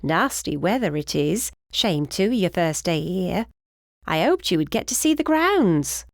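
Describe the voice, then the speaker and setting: A woman's voice: lowish voice, big pitch swings, unhurried, no room sound, clean studio sound. A woman voicing a short, plump, cheerful older housekeeper with a British accent and a rambling, effusive, fussy manner in an audiobook. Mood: cheerful, welcoming.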